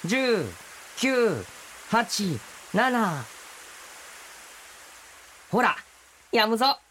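A boyish rain spirit in an audio drama. He is cocky and breezy, talking like a carefree schoolboy, and his pitch swings in a lively way. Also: drawn-out words, slight background noise, exaggerated voice, poor audio. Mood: smug.

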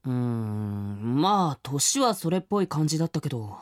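A woman voicing an earnest, skeptical middle-school boy in an audio drama, acting his part and narrating his story with a husky voice. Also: extremely low pitch, big pitch swings, normal speed. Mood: flustered, defensive.

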